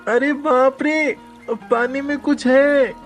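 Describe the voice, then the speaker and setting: A man voicing an excitable, playful nine-year-old younger brother in a children's cartoon story. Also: very high-pitched, takes it slowly, voice pushed up. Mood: startled. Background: music.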